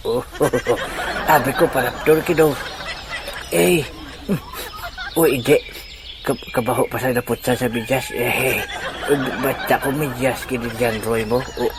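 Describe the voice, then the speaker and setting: A man playing a bumbling, excitable uncle in a comic audio drama, with a Filipino accent and a stammering, repetitive delivery. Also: mid-range voice, noisy background, close to an everyday voice. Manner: stammering, chuckling, repeats himself.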